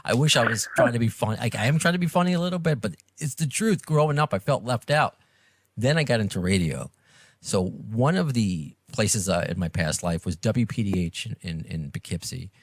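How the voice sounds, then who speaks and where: A man with a New York accent chats as a podcast host, his fairly low voice rising and falling freely. He rambles and pokes fun at himself in a casual interview style. The sound is close and dry. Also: expressive, brisk, no echo. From Long Island and Brooklyn, New York. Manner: rambling, self-deprecating.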